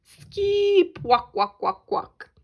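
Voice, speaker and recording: A woman voicing a big, odd, curious long-legged storybook bird with deliberate squawky calls. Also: very high-pitched, big pitch swings, pitched above the performer's own voice, full character voice.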